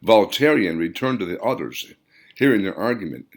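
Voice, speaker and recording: A man's voice: on the low side, lively intonation, moderate pace. A man reading his own fiction audiobook in a dramatic, scripted storytelling delivery.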